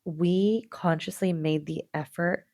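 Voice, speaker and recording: A young woman co-hosting a casual podcast, telling stories in a chatty way with quick bursts of excitement. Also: lowish voice, no room sound, studio quality. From Canada. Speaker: podcast co-host. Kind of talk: casual chat.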